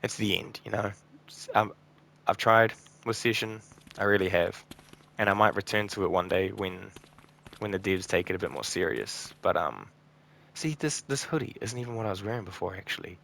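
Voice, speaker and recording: A man hosting a gaming video, talking casually over gameplay in a croaky, slightly raspy voice, tired and burnt out, rambling with ums and trailing off. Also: fairly low pitch, animated, moderate pace, fairly bright tone. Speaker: gaming channel host.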